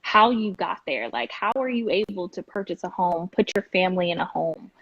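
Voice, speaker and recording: A woman's voice: on the low side, moderate pace, a bit bright, husky, dry recording. Woman hosting a video-call interview. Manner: encouraging, brief affirmations. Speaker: host. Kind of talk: casual online interview. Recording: dull dry video-call audio.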